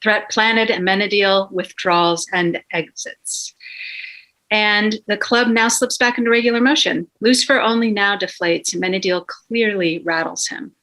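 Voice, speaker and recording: A woman narrates a script's action lines. Her voice is bright and crisp-edged at a medium pitch, in a scripted storytelling read. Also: steady tone, normal speed, low-quality recording. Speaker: stage directions reader.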